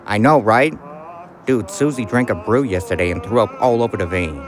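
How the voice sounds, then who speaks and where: An actor playing a laid-back mobster sidekick in a comedic crime audio drama, talking in casual slang. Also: male voice, on the low side, expressive, a little fast, soft background music, close to an everyday voice. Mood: gossipy. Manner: casual, foul-mouthed banter.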